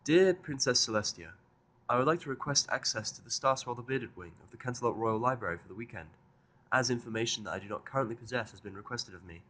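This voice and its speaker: A man's voice: medium pitch, lively intonation, fast talker, fairly bright tone. A man voicing a studious, easily flustered young female unicorn pony who speaks in formal, pedantic phrases for a fan fiction audio drama. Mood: formal, dictating.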